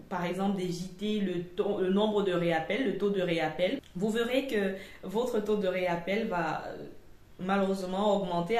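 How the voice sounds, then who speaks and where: A woman presenting an informal lesson in a didactic way, with hesitant phrasing and frequent pauses. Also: medium pitch, a little mellow. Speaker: presenter of a customer service channel. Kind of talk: informal instructional talk to camera. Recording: echoey, roomy sound.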